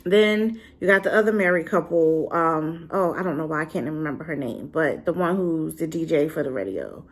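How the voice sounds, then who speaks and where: A divorced adult woman giving a sassy, animated casual review straight to her viewers. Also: low voice, a little fast. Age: adult, been married and divorced. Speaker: drama reviewer.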